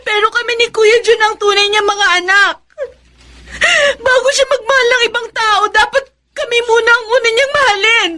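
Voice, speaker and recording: A woman's voice: very high-pitched, fairly even intonation, normal speed, bright, forceful tone. A woman with a Filipino accent playing a hurt, jealous grown daughter in a plaintive, emotional radio drama style. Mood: tearful resentment. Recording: very noisy radio drama audio.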